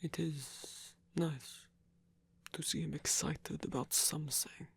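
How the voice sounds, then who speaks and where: A man plays a stern guardian in an ASMR tale. The voice is husky and breathy, often near a whisper. He speaks slowly and formally, weighing each phrase protectively. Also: on the high side, steady tone, exaggerated voice. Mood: softening, wry. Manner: measured, formal, deliberate. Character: stern, protective male guardian of a young vampire. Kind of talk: ASMR roleplay acting.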